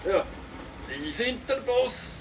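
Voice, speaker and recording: A man's voice: very high-pitched, lively intonation, slow pace, recorded from a distance, noisy background, full character voice. A man playing a doubtful, submissive construction worker in a children's audio drama, hesitant and deferential.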